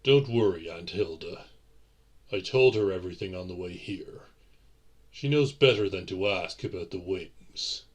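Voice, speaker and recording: Man acting deep, slow, courtly gentleman vampire. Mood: courtly, playful. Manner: formal, theatrical, deliberate phrasing. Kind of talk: audio roleplay acting.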